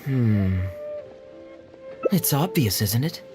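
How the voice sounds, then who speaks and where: An actor playing a suave, teasing, calculating male captain in a video game, with a wry, smooth-talking manner. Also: medium pitch, animated, very slow. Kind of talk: video game character dialogue. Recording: music under the voice.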